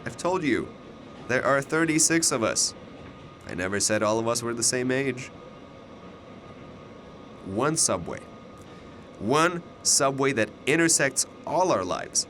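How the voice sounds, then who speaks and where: An actor in a radio drama playing a mysterious older alternate self, fatalistic and persuasive, speaking in a deliberate, ominous way. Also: male voice, mid-range voice, animated, a bit bright, a little hiss or hum. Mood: solemn, persuasive.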